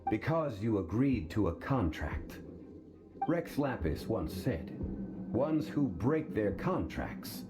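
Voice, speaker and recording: Stately scholar, very deep, formal, music under. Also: male voice, very animated. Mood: stern. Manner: measured, formal, authoritative. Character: calm, learned man, ancient and dignified. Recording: game cutscene over music.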